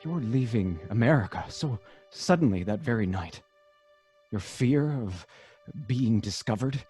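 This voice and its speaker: An actor playing a loyal, concerned best friend in an old-time radio drama, earnest and a little hesitant. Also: male voice, fairly low pitch, animated, unhurried, warm tone, faint music behind. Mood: earnest, probing.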